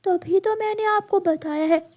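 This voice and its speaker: Woman playing a squeaky little boy crow. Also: unhurried, a little mellow. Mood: childish. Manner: pleading, childlike. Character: young boy crow, mischievous and whiny. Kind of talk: Hindi cartoon story voice acting.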